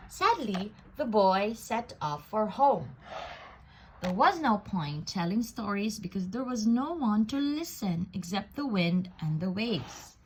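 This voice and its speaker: A woman reading a children's picture book aloud in an expressive, deliberate way, drawing out words for effect. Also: mid-range voice, a little hiss or hum, poor audio. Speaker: storybook reader.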